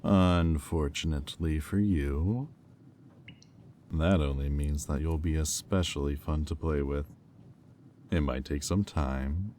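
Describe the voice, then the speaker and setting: A man playing a smug, predatory demon in a roleplay audio drama, teasing and taunting in a silky manner with deliberate pauses. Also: a bass voice, darkish tone, a little hiss or hum. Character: smug, predatory male demon toying with a captive angel.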